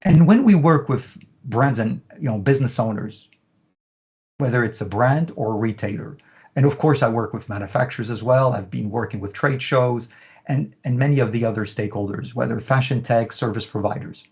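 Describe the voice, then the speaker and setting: Middle-aged male consultant, mid-pitched, slightly dull online-call sound, talks in long lists. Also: moderate pace, a little mellow. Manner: talks in long lists with many "you know" fillers. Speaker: fashion business consultant. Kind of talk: interview.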